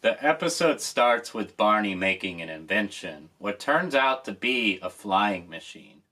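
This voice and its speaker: Slow, lively-voiced nostalgic host. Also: male voice, on the low side. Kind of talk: nostalgic commentary.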